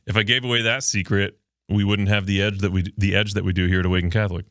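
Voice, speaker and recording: A man's voice: fairly low pitch, flat delivery, fast, fairly bright tone, dry recording, studio quality. A man from Miami who grew up speaking Spanish, hosting a podcast in a loose, joking way and reading viewer comments aloud while short on sleep. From Miami, Florida. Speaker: podcast host. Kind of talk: casual livestream chat. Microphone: studio microphone.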